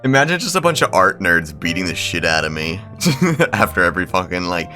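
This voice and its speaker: A man's voice: low voice, big pitch swings, brisk, pushed and bright, soft background music. A man hosting a game video, reading a visual novel aloud and adding slangy, profane bro-style commentary. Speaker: gaming video host.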